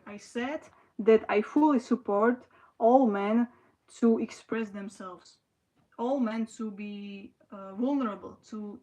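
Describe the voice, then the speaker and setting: A young woman from Slovakia, chatting casually on a livestream in halting sentences full of restarts and "you know". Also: on the high side, a little mellow, poor audio. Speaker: livestream host. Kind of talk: casual livestream chat answering viewers.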